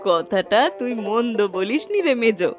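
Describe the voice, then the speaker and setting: A woman's voice: fairly high pitch, heavily acted. A woman voicing a greedy, conniving elder co-wife in a melodramatic cartoon. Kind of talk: cartoon voice acting.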